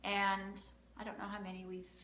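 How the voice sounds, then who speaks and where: A woman's voice: medium pitch, completely flat delivery, normal speed, fairly bright tone. Upbeat woman talking through a healing session. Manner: counts through options aloud, upbeat and chatty. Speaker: emotion code practitioner and host. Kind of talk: live energy-healing demonstration, talking through steps. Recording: roomy, slight background noise.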